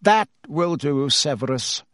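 A man doing Dumbledore, a calm quiet old headmaster. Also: a little high, slow pace, no room sound, clean studio sound. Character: calm wise elderly male headmaster.

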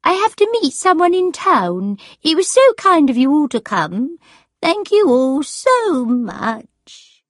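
Woman as an eccentric, high-voiced old lady. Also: big pitch swings, no echo, clean studio sound, full character voice. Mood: grand, gracious. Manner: crazy high voice, drawn-out delivery. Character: eccentric old woman of eighty, grand and moody.

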